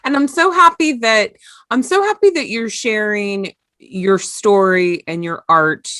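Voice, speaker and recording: A woman's voice: medium pitch, measured pace, a bit bright, no room sound, clean studio sound. A woman hosting a podcast in casual chat, effusive and encouraging. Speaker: podcast host.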